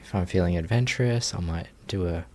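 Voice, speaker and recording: A man leading a guided meditation as a teacher, speaking gently and invitingly with long, unhurried pauses. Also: low-pitched.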